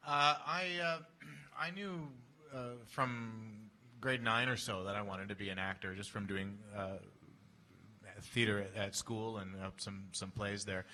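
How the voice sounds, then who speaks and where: Lower-voiced man, halting and wry. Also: pushed and bright. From Canada. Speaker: voice actor panelist. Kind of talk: convention panel answers. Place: convention panel room.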